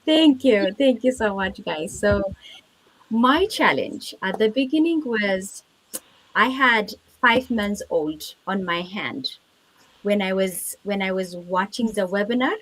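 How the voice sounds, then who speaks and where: A woman from Ethiopia speaks as a guest on a live video call, with a mid-range voice. She tells her story calmly and clearly, repeating key points to drive them home. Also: medium pitch, slow, rough recording. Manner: clear, composed storytelling with repetition for emphasis. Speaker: guest business owner. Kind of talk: live online testimony.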